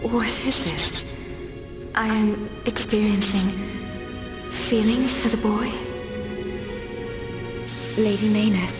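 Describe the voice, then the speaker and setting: A woman playing a gentle, deferential attendant in a video game cutscene, speaking politely. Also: mid-range voice, fairly even intonation, slow, long pauses, roomy sound, lightly acted. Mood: deferential, unsure. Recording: muffled, music behind.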